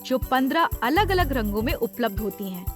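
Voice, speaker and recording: High-pitched female explainer narrator. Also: big pitch swings, a little fast, music in the background. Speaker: voice-over co-narrator. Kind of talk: scripted narration for a factory explainer, conversational two-hander. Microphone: studio voice-over mic.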